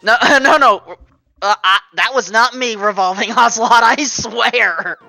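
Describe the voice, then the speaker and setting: A woman's voice: on the high side, measured pace, creaky, pushed and bright, dry recording, soft background music. A woman doing casual, playful commentary on a video game race, explaining the game's logic and teasing her co-commentator. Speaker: speedrun commentator.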